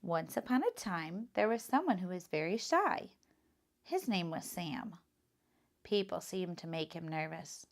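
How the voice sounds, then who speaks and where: A woman reading a children's picture book aloud as a teacher, in an expressive, instructive style. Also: lowish voice, measured pace, gravelly.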